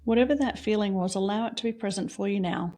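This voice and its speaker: A woman leading a guided reflection exercise, asking slow, soft questions with long pauses between them. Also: medium pitch. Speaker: meditation guide.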